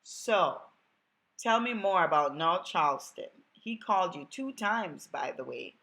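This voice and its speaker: A Jamaican woman acting out a sassy, outspoken young secretary in a storybook reading. She teases and jabs with attitude, her voice lifted above the reader's own low tone. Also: expressive, measured pace, fairly bright tone, low-quality recording. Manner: sassy, teasing.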